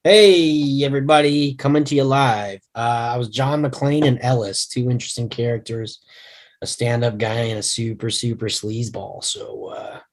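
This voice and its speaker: A man's voice: mid-range voice, steady tone, moderate pace. A man, an actor, talking casually and upbeatly on a video call and rambling a little. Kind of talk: casual chat.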